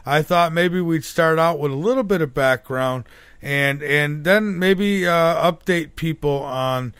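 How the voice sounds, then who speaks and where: A man's voice: fairly high pitch, a little slow, a bit bright, clean tone, dry recording. A man hosting a radio show, rambling through his questions with frequent fillers. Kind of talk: casual radio interview.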